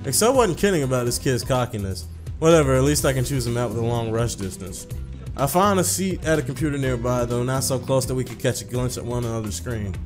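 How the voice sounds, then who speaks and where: A man's voice: mid-range voice, lively intonation, fairly quick. Young guy reading a visual novel for a gaming video, informal n sweary, music playing. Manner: informal, profane. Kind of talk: reading a visual novel aloud with casual commentary.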